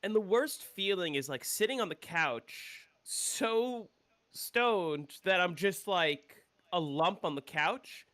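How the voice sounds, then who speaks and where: Streamer, male, casual and opinionated, swears freely, higher voice, sounds a bit congested. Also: lively intonation, takes it slowly, fairly bright tone. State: congested, coming down with a cold. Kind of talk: casual gameplay chat.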